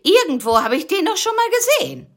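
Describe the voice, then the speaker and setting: A woman doing Busy, a squeaky excited critter. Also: normal speed, exaggerated voice. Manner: teasing, excited. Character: eager cheeky little male critter, spider's bold friend.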